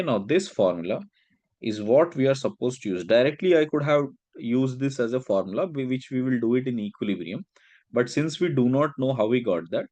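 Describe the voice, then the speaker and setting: A man speaks as a teacher walking through a worked problem in a lecture. His voice sits at an average pitch, and he explains each step, pausing to check in with brief questions. The sound is dry, with little room echo. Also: a little mellow. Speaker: chemistry teacher.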